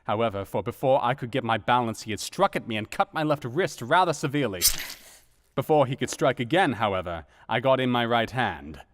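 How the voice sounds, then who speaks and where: A man's voice: medium pitch, animated, fairly quick, fairly bright tone, close to an everyday voice. A man voicing an earnest asylum doctor. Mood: tense. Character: asylum doctor writing his diary. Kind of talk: radio play narration.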